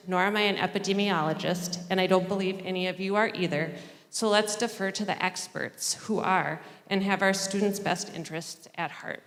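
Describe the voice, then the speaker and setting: A mother reading a prepared statement at a school board public comment, earnest and measured. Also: medium pitch. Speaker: parent speaking at public comment. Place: school board meeting hall. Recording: long reverb in a large hall.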